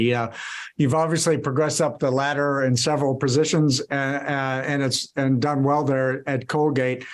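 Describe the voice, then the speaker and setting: A man interviewing a guest, asking long, winding questions filled with "you know" and "um". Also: mid-range voice. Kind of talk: conversational interview.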